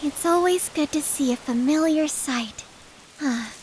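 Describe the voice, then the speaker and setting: Huntress girl for video game: youthful, high, slow-paced, sincere and tender-hearted. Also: darkish tone, slight background noise, rough recording. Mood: cheerful.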